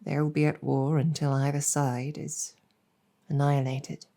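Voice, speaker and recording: A woman playing a gentle, earnest, world-weary demon general in an ASMR roleplay, speaking softly and reassuringly with measured hesitation. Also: low voice, little pitch movement. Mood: weary, grave. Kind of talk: improvised ASMR roleplay storytelling.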